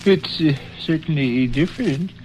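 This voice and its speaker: An actor playing a dryly skeptical, indulgent father in a 1930s radio comedy, laconic and deadpan. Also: fairly high pitch, a little slow, soft background music, close to an everyday voice, rough recording. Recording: faint noise, old radio recording.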